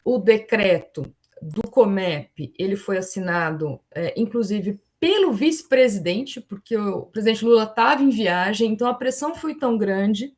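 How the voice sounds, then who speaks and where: A woman with a Brazilian accent gives an academic presentation as a panelist, at a middling pitch. She explains step by step, often checking in with little confirming tags as she goes. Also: lively intonation, normal speed, darkish tone. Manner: explanatory, frequently seeking agreement with tags. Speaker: forum panelist.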